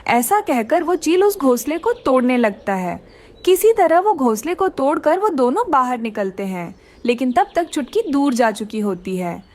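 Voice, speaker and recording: Woman narrator for children's tales: high-pitched, very expressive melody, scripted storytelling. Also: normal speed.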